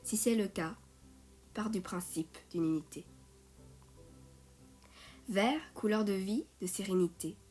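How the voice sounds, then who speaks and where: A woman reciting poetry in a measured way, phrase by phrase. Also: medium pitch, a little mellow, slightly rough. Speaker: poet reading own poem. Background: music.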